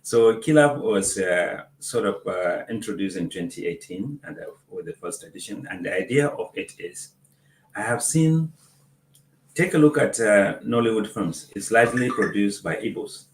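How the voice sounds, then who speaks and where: Measured man with a low voice. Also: expressive, roomy sound, slight background noise, rough recording. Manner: measured, explanatory, with hesitant uh fillers. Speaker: film producer and festival organizer, guest. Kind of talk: interview answers.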